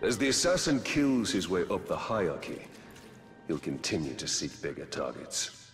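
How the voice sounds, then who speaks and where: Fantasy game hero: low, serious, determined ranger, music underneath. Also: male voice, very animated, normal speed. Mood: grim, focused. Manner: earnest, serious. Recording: music behind.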